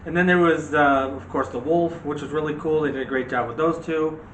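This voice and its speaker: A man's voice: on the high side, fairly even intonation, brisk, a bit bright. A middle-aged man co-hosting a movie channel in a casual, rambling chat with a teasing streak. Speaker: co-host of a movie review channel. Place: room at home. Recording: roomy echo, faint background noise.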